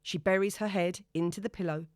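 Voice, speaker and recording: A woman's voice: on the low side, steady tone, a little fast, a little mellow, no echo, studio quality. A woman reading scripted novel narration as an audiobook narrator.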